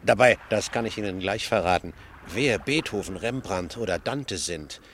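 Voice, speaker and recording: An actor playing a wry, gossipy ship passenger in a radio play, telling tales in with a sardonic manner. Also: male voice, fairly low pitch, moderate pace, a little hiss or hum, natural voice. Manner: sardonic, chuckling, animated storytelling. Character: wry, worldly, gossipy male ship passenger, mocking raconteur. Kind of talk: German radio play dialogue.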